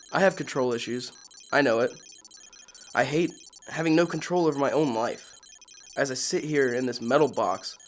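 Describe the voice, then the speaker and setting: A woman playing a defiant, brooding captive in an introspective audio drama monologue. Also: a bass voice. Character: caged, angry captive with superhuman senses, brooding and defiant. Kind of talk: scripted audio drama narration. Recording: music under the voice.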